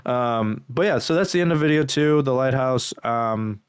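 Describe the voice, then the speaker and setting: A man co-hosting a podcast, recapping horror videos in a halting, casual way with some swearing. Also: mid-range voice, clean tone, no echo, clean studio sound. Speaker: podcast co-host.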